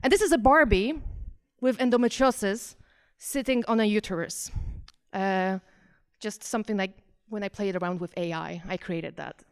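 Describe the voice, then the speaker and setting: Clear-voiced Polish woman presenting informally. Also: mid-range voice, moderate pace. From Poland. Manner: conversational, hesitant, self-questioning. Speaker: visual artist and researcher presenting her work. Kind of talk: informal art presentation to an audience.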